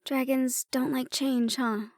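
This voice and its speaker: A woman acting as an affectionate, teasing woman in a fantasy roleplay audio drama. Her voice is high and soft, close and very dry. She talks intimately to her partner, unhurried, leaving pauses. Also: little pitch movement, no room sound. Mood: teasing, warm. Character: affectionate, teasing human woman, dragon's partner and healer shopkeeper.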